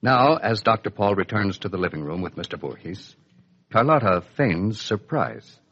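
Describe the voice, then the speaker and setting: A man announcing and narrating a 1950s radio serial in a scripted, dramatic storytelling style with deliberate emphasis. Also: on the low side, expressive, a bit bright, slight background noise. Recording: muffled old 1950s radio recording.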